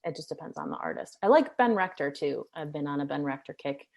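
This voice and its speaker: A woman's voice: deep voice, lively intonation, fast talker, darkish tone. A young woman, a singer-songwriter, chatting casually in an interview, rambling with many fillers.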